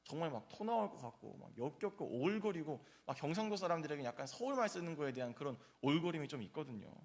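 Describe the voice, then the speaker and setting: A male pastor giving an early-morning sermon in a church, telling personal stories in a conversational way with frequent filler sounds. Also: a little high. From South Korea, grew up in Gyeongsang region.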